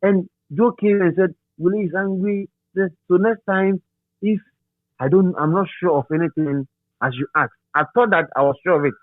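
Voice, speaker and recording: A middle-aged Ghanaian man reminiscing as an interview guest in a halting, repetitive, animated storytelling manner. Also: high-pitched, dry recording. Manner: halting, repeats words and phrases, animated storyteller. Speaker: interview guest, radio DJ and presenter. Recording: thin phone-like sound.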